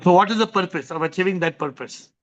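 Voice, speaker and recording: High-voiced man leading spiritual Q&A. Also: fast talker, dull audio. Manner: probing, repeats questions to lead the answer. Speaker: spiritual teacher hosting a question session. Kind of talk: online spiritual Q&A.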